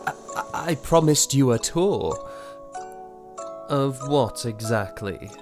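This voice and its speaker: A man playing a polite, hesitant young vampire prince in a fantasy audio drama, speaking in a courtly, halting, unsure way. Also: mid-range voice, expressive, over music. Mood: reserved.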